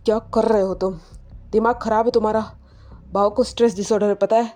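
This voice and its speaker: Mellow-voiced woman dramatizing a story. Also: medium pitch, steady tone, a little fast. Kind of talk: dramatized audio story narration. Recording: music behind the voice.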